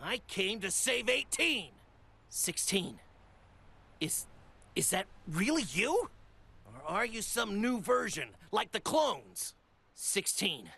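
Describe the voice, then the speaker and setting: A woman voicing an earnest, feisty little male martial artist in an anime game, high and scratchy. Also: slow pace, gravelly, long pauses, slightly rough, heavily acted. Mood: puzzled, wary. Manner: excitable, earnest. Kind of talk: dubbed anime game voice acting. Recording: music under the voice.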